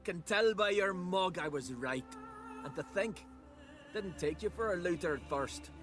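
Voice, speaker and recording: An actor playing an eager, eccentric island scholar in a fantasy game, using folksy archaic words. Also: male voice, sits high, lively intonation, a little slow, fairly bright tone, soft background music. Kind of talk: video game cutscene dialogue.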